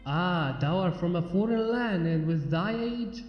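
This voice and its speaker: A man's voice: high-pitched, lively intonation, unhurried. A man voicing a regal, formal female alicorn pony princess in a storybook, with archaic, stately speech. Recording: muffled, echoey long reverb under music.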